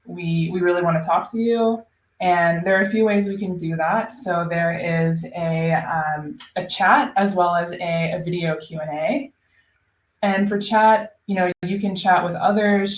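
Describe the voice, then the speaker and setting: A woman's voice: lowish voice, steady tone, moderate pace, echoey room, slight background noise, poor audio. A woman hosting a live online webinar as a solutions engineer, chatty and upbeat with frequent ums.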